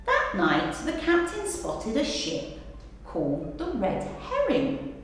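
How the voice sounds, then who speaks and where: A woman teacher reading a children's story aloud with expressive narration. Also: sits high, slow, soft background music. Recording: echoey hall, distant mic, background noise.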